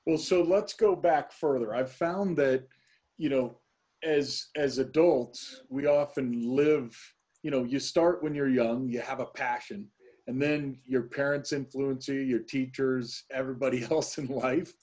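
Male podcast interviewer, middle-aged, husky and mellow tone, conversational delivery. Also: mid-range voice, fairly even intonation, measured pace.

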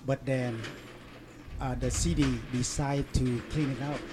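A man in an interview, telling a story in halting, broken English with many fillers and restarts. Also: mid-range voice, flat delivery, background noise. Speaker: interview guest. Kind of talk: casual storytelling.

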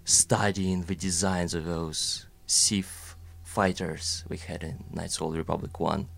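A man's voice: low-pitched, flat delivery, slow, vocal fry, a bit bright. A young man with a Russian accent, chatting casually and rambling through his thoughts with many fillers and self-deprecating jokes. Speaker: animator guest. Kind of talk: casual conversation.

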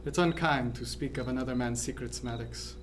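A man playing a thoughtful ship's surgeon in a radio drama, guarded but tender, with formal, deliberate phrasing. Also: medium pitch, slight background noise, natural voice. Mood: quiet, guarded.

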